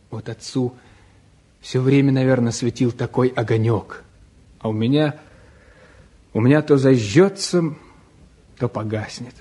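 A man's voice: fairly high pitch, dark tone, slightly rough. An actor playing an earnest, self-doubting man in his twenties in a 1950s Russian stage drama, speaking reflectively and repeating words for weight. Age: 20s.

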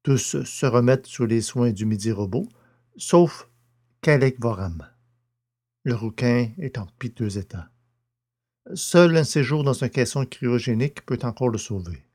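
A man's voice: medium pitch, expressive, measured pace, a little mellow. A man hosting a podcast and narrating, with a scripted, storytelling delivery.

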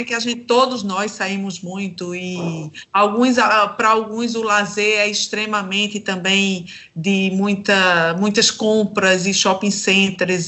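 Brazilian woman, radio host. Mid-range, bright voice, conversational and somewhat halting. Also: mid-range voice. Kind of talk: conversational interview hosting.

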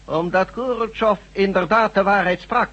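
A man acting in a radio drama as a smug, menacing god posing as a doctor, deliberate and condescending. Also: sits high, a bit bright, muffled recording. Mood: smug, gloating.

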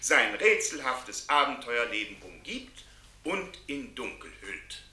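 An actor playing a learned professor giving a formal, solemn lecture over the radio in a drama. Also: male voice, mid-range voice, very animated, slow pace, pushed and bright, heavily acted. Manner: formal, scholarly. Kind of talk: scripted lecture. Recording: echoey, noisy radio-set playback.

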